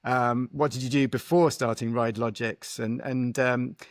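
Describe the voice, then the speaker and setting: A man's voice: medium pitch, fairly even intonation, unhurried, no room sound, clean studio sound. A man in his forties interviewing as a podcast host over a video call, chatty and informal, with loosely worded questions. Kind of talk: conversational interview. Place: home room with bookshelves, video call.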